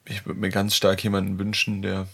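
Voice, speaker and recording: A man recording a halting voice diary while in pain, sounding subdued and lonely. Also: low voice, monotone, gravelly, fairly bright tone, breathy edge. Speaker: audio diary speaker. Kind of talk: confessional voice diary.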